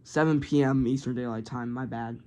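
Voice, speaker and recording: A man reads amateur mock emergency announcements in a halting, informal way, correcting himself and slipping into casual asides. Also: mid-range voice, steady tone, dark tone. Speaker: narrator of a homemade emergency alert scenario.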